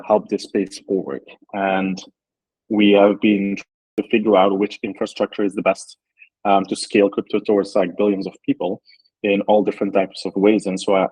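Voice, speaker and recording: A man from Belgium with a low, husky voice, explaining his work in an interview. He talks thoughtfully, with frequent ums and likes as he searches for words. The sound is dry and close. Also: a little mellow, dry recording, rough recording. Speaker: startup founder. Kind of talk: interview answers.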